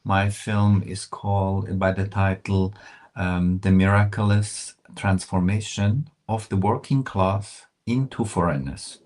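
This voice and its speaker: A man's voice: low voice, fairly even intonation, takes it slowly, darkish tone. A man from Iraq who grew up in Switzerland, a documentary filmmaker speaking carefully on a panel. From Iraq, raised in Switzerland. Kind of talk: casual panel talk.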